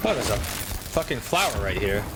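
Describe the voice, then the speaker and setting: A man's voice: on the low side, very animated, normal speed, noisy background. A man doing casual gameplay commentary as a streamer, muttering offhand and swearing to himself. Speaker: game streamer. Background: video game music.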